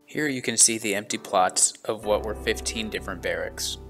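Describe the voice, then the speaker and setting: A man reading a measured, solemn voice-over narration for a travel vlog walking tour. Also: fairly low pitch, fairly bright tone, soft background music.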